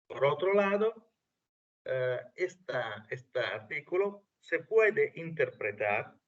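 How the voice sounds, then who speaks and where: A man with an Italian accent, a native Italian speaker, giving an academic talk haltingly, with many fillers. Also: fairly high pitch, sing-song. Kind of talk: academic presentation. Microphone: video call. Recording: distant lo-fi video call audio.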